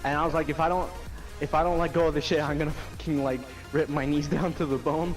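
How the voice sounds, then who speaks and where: A man's voice: fairly high pitch, steady tone, quick pace, faint music behind. A young man casually telling anecdotes to a friend, rambling, slangy and full of swearing. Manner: slangy, profane, loose and rambling.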